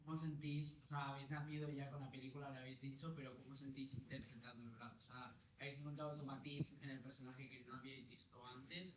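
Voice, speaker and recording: A man's voice: medium pitch, level tone, fast talker, pauses often, husky, poor audio. A man in the audience asking a question, rambling and rephrasing it.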